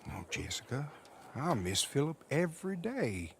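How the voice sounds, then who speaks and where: A man's voice: medium pitch, big pitch swings, unhurried, gravelly, slight background noise. An actor playing a self-righteous, villainous Old West businessman in a game, florid and falsely courteous. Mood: falsely warm. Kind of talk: cinematic western game acting.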